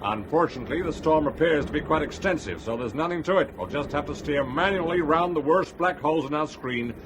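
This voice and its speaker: A man's voice: fairly high pitch, a little fast, close to an everyday voice. Breezy airline captain over cabin noise male actor. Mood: breezy, wry. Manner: smooth patter, dry humour. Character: breezy, wry, self-assured airline captain. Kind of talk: radio drama acting. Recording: loud vehicle-like cabin noise.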